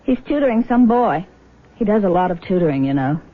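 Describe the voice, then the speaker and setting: Casting: actress for direct, independent young daughter in vintage radio drama; mid-pitched, earnest, narrow-band broadcast sound. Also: female voice, animated, fairly quick, close to an everyday voice. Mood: firm, fond. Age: young adult. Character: caring, firm, independent young nurse and devoted daughter. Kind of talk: 1970s radio drama acting.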